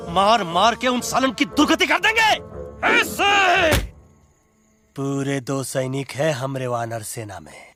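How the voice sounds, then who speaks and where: A man voicing a blustering monkey army commander in an animated film, mocking and bombastic, with a Bhojpuri-flavoured Hindi accent. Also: a little high, takes it slowly, music in the background. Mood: angry, rousing. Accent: Bhojpuri-influenced eastern Hindi.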